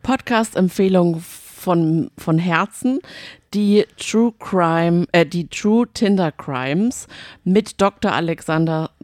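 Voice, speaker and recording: A woman's voice: on the low side, slow pace, a little mellow, dry recording, studio quality. A woman co-hosting a casual podcast, chatty and teasing in manner. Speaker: podcast co-host.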